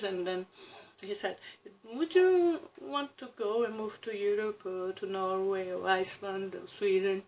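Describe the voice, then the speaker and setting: A woman's voice: medium pitch, slight background noise, poor audio. A Brazilian woman in her thirties with a Brazilian Portuguese accent, talking reflectively to camera in a personal vlog. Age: 30s. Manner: reflective, with pauses and restarts, soft laughs. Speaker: vlogger. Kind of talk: casual personal talk to camera.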